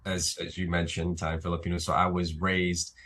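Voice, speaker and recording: A man's voice: deep voice, monotone, a little fast, fairly bright tone. A man raised in Italy, guest on a talk show, talking hesitantly with many fillers. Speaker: actor and filmmaker guest. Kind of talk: interview.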